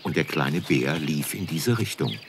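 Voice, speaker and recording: A man's voice: a bass voice, a little slow, noisy background. A man narrating a scripted children's story as storyteller.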